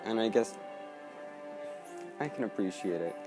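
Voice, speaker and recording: A man's voice: on the low side, expressive, a little slow. A man giving casual let's play commentary over a video game. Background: faint game music.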